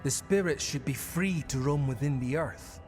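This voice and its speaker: A man's voice: a little high, normal speed, natural voice. An actor playing an earnest young male druid companion in a dramatic video game. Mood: wary, puzzled. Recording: game music underneath. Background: game music.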